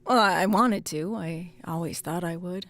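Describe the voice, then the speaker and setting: A woman's voice: on the low side, normal speed, fairly bright tone, no room sound. An actress playing a troubled, self-doubting middle-aged former policewoman, earnest and hesitant, in naturalistic audio drama dialogue. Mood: awkward, apologetic. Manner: hesitant, stammering, apologetic.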